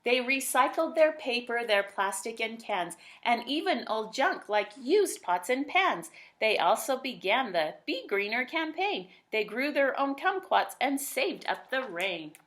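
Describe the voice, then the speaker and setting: A woman's voice: a little high, big pitch swings, a little slow, hardly any pauses, fairly bright tone. A woman reading aloud expressively in the style of a children's storybook.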